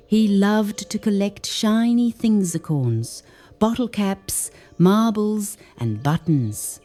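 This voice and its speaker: A woman reading a children's story aloud in a gentle, expressive, carefully enunciated way. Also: lowish voice. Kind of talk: scripted read-aloud children's story narration.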